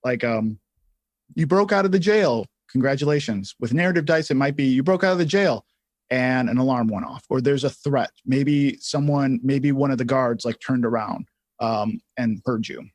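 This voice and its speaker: A man's voice: medium pitch, normal speed, no room sound. Casual male co-host, dry close sound. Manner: informal, self-deprecating, peppers speech with "like". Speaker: livestream co-host. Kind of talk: casual tutorial chat.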